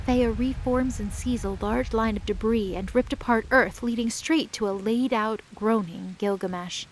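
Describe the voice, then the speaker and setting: A woman narrating an audio drama in a measured storytelling voice. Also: fairly high pitch, a little hiss or hum, poor audio. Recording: narration over loud music.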